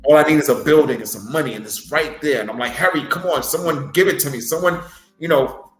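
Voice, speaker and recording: A man's voice: a little high, fast talker. Wrestler guy on a podcast giving a heartfelt pep talk, roomy echo on it. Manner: earnest, motivational, storytelling. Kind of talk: casual podcast chat.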